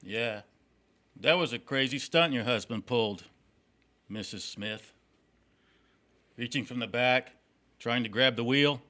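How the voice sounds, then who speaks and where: An actor playing a wry, probing, coolly skeptical district attorney in a noir stage drama. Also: male voice, mid-range voice, a little slow, pauses often, sharp tone.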